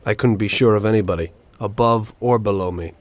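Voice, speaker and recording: Actor as weary, disillusioned American mafia gangster. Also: male voice, on the low side, brisk, lightly acted. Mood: weary, grave. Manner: reflective, plain-spoken, cynical. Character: weary, disillusioned male mafia gangster recounting his past. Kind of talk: dramatic game cutscene narration.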